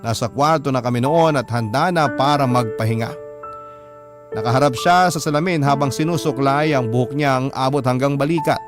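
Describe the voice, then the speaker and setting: A man playing a troubled young husband, narrating his story in a measured, confiding way for a radio drama. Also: mid-range voice, close to an everyday voice. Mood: rueful, confiding. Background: instrumental music.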